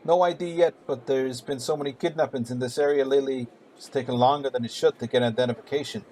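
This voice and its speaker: A man's voice: mid-range voice, steady tone, fast, warm tone, close to an everyday voice. A man playing a grim, weary police detective in a radio play, speaking in dramatic dialogue. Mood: grim, urgent. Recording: clear hum and background noise.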